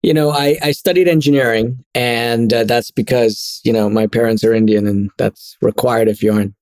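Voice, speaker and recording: A man from Trinidad and Tobago, a podcast guest, speaking in a measured, thoughtful and self-deprecating way. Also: medium pitch, fairly even intonation, a little mellow, dry recording. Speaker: guest, product executive. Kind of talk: casual interview chat.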